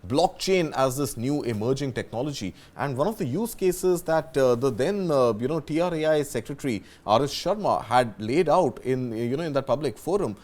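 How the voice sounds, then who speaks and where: A man's voice: medium pitch, animated, moderate pace, hardly any pauses, a little mellow. A man with an Indian accent giving unscripted commentary as a news panelist, hedging often as he goes.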